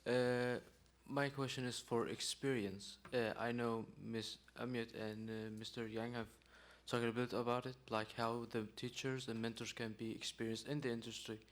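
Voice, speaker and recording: A teenage male student puts a question to a panel. His voice sits at a medium pitch, and he speaks haltingly and without a script, searching for his words. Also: flat delivery. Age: teens. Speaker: student audience member asking a question.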